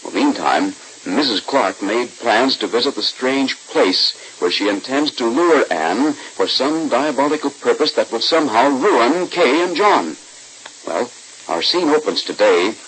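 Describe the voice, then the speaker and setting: 1940s radio announcer guy, polished salesman delivery, mid pitch with big swings, hissy old recording. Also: a bit bright, rough recording. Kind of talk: 1940s radio scripted narration and ad read.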